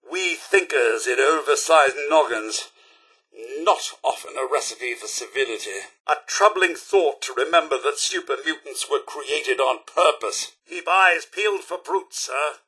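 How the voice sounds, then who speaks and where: A man voicing a fussy, polite and anxious robot butler in a video game, with a British accent and a prim, theatrically expressive manner. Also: fairly high pitch, breathy edge, heavily acted. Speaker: video game companion character. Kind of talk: video game companion voice lines.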